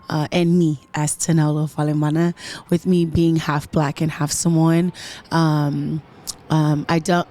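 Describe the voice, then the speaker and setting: Woman, musician guest, low-set voice, dry and close, earnest and rambling with animated lifts. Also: no echo. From Southern California. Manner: earnest, rambling with many ums, bursts of animated emphasis. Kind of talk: casual podcast interview.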